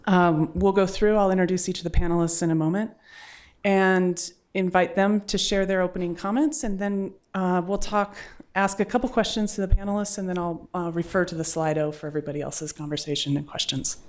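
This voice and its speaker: A woman's voice: lowish voice, fairly even intonation, a little fast. A woman moderating a symposium panel, introducing the speakers and laying out the format.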